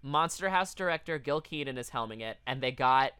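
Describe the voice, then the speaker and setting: A man's voice: mid-range voice, animated, brisk, pushed and bright, clear, resonant tone, no room sound. A man co-hosting a podcast in casual chat, reading out a list with blunt, sweary asides. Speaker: podcast co-host.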